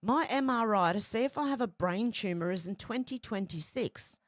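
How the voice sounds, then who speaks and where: A woman's voice: medium pitch, animated, moderate pace, no echo, clean studio sound. Irish-born woman reading and commenting on news. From Ireland. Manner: chatty, reacts aloud between readings.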